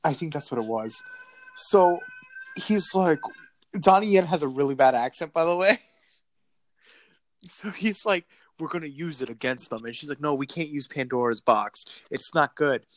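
A woman with a notably low, deep voice chats casually about a movie, dropping sarcastic asides and frequent laughs. The sound is dry and close, with no room around it. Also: moderate pace, no room sound. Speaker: podcast co-host. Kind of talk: casual movie recap chat.

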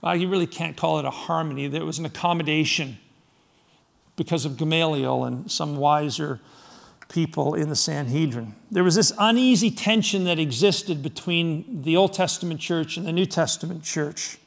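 A middle-aged man from Scotland preaching a sermon to his congregation, firing rhetorical questions, swinging from loud emphasis to soft, low asides. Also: normal speed, vocal fry. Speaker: pastor.

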